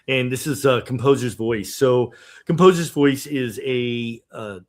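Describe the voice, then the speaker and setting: A man hosting a watch party for his music TV show, talking casually with lots of fillers and restarts. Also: medium pitch. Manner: halting, many fillers and restarts. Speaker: TV show host. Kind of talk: casual livestream talk.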